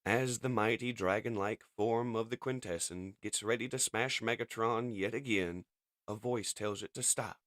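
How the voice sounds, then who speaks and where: A man with a fairly low voice reads and reviews comics. He moves between dramatic narration and casual commentary, recorded dry without room sound. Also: moderate pace, fairly bright tone, no room sound.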